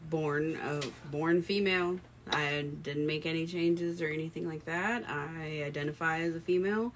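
A woman with a naturally low voice co-hosting a casual podcast, speaking candidly about herself. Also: normal speed, background noise. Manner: candid, personal. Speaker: podcast co-host. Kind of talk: casual discussion.

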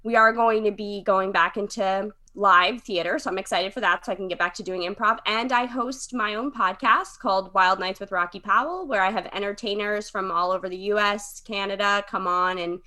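Bright-voiced chatty woman guest. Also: medium pitch, normal speed, few pauses. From Connecticut, USA. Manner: chatty, informal. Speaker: podcast guest, comedian and improviser. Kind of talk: casual chat.

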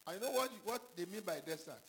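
A man preaching a sermon, halting and repetitive, with questions to the congregation. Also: high-pitched, animated, vocal fry, a bit bright, a little hiss or hum. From Ghana. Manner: halting, repeats himself, calls out questions to the congregation. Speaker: pastor.

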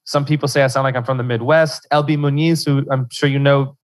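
A man's voice: medium pitch, steady tone, a little fast. Young louisiana guy on a podcast, rambles, keeps restarting, medium voice. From South Central Louisiana. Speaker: podcast guest. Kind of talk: casual interview chat.

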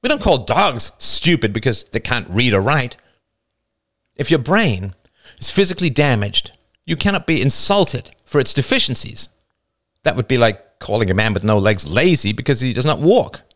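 A man voicing a calm, condescending male debater who lectures in an emphatic, didactic way. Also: mid-range voice, normal speed. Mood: lecturing, insistent.

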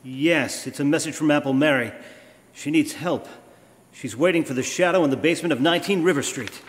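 A man playing an astute, grave gentleman sleuth in an old-time radio drama, measured and knowing. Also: on the high side, natural voice. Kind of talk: 1930s-style live radio drama acting. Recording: echoey live hall, noisy.

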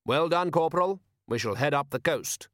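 Aristocratic ruler for comic fantasy audiobook voiced by a man: composed, measured, dry wit, very dry recording. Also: on the high side, no room sound, voice pushed up. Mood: composed, courteous. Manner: measured, formal, deadpan. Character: calm, dryly witty, aristocratic male city ruler.

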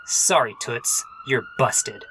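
An actor playing a sardonic, melodramatic hard-boiled private detective in a parody radio mystery. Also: male voice, mid-range voice, lively intonation, slow pace, heavy background noise. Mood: smug.

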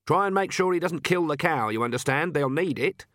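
A man's voice: a little high, animated, a little fast, a bit bright, no echo, clean studio sound, pitched above the performer's own voice. A man voicing Granny Weatherwax, a blunt, firm older woman witch, in an audiobook. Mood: stern. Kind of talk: audiobook character voice.

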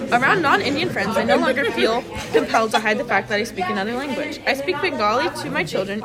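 A young woman with a fairly high voice talks and reads aloud like a student in a group. Her manner is casual and playful, and a loud crowd of chatter surrounds her. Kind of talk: casual group schoolwork, reading aloud and joking. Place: busy classroom.

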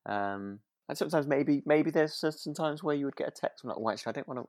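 A man's voice: fairly high pitch, expressive, fast, darkish tone, dry recording, studio quality. A man co-hosting a podcast, chatting casually in a tentative, meandering, thoughtful way. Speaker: podcast host. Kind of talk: casual chat reviewing a radio drama.

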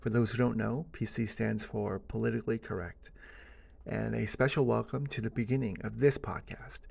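A man's voice: fairly low pitch, steady tone, moderate pace, creaky, darkish tone, breathy edge. A man hosting his own podcast, talking alone in a casual, earnest way with plenty of "uh" and "you know" fillers. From United States (born in Korea). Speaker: podcast host. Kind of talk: solo podcast monologue.

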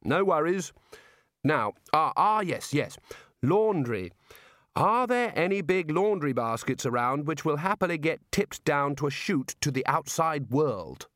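A man's voice: a little high, big pitch swings, a little slow, fairly bright tone, no room sound, clean studio sound, pitched above the performer's own voice. A man voicing a hapless, anxious male prisoner in a comic audiobook, fretful, hesitant and sardonic. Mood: hopeful, hesitant.

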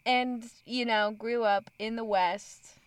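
A woman's voice: fairly high pitch, takes it slowly, clear, resonant tone, no echo. A woman from Utah with a Utah accent, chatting casually and telling stories in a chipper, bubbly way. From Utah, USA. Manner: animated storyteller, laughs often, chipper and bubbly. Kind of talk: casual chat.

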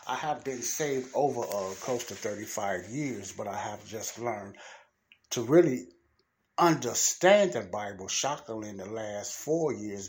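A man's voice: fairly low pitch, a little hiss or hum. A middle-aged man hosting his own audio-only podcast, talking off the cuff in an earnest Bible-teaching way, rambling and repeating himself, with his sinuses clogged. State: congested sinuses. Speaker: podcast host. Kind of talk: unscripted solo talk. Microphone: audio-only podcast recording.

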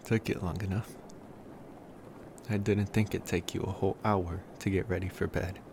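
A man playing a tender, smitten young college vampire in an intimate ASMR roleplay, speaking softly and hesitantly with affectionate pauses. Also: low-pitched, flat delivery, vocal fry, noisy background. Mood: gently teasing.

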